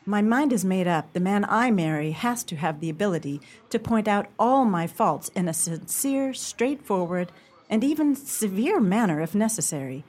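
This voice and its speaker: A woman's voice: mid-range voice, animated, moderate pace, darkish tone, close to an everyday voice. A woman playing a firm, dismissive love interest in an amateur radio play, speaking in a theatrical, emphatic way. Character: firm, dismissive woman rejecting her suitor.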